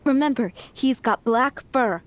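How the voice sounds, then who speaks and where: A woman's voice: sits high, measured pace, a little hiss or hum. A woman playing a kindly, grateful young townswoman in a video game. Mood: hopeful, helpful.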